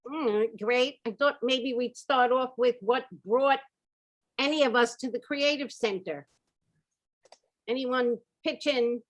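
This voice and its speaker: A woman's voice: sits high, slow pace, rough recording. A woman moderating a casual discussion on a video call, putting questions to participants.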